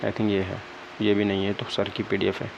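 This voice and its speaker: A man's voice: lowish voice, monotone, moderate pace, creaky. A young man talking casually to viewers as he explains things, sounding disappointed and often adding small check-in tags. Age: young adult. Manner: conversational, often checks in with "okay, right" tags. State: downcast, disappointed. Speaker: exam-prep vlogger. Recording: dull lo-fi sound, faint background noise.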